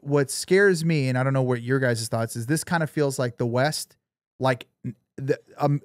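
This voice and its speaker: A man's voice: on the high side, darkish tone, no echo. Casual man talking politics, dry close sound. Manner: informal, swearing, emphatic. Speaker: podcast co-host. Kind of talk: casual opinionated political chat.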